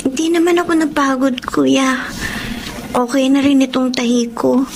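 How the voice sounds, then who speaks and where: An actress playing a sweet, reassuring younger sister in a Filipino radio drama, gentle and agreeable. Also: female voice, high-pitched, fairly even intonation, moderate pace, a little hiss or hum.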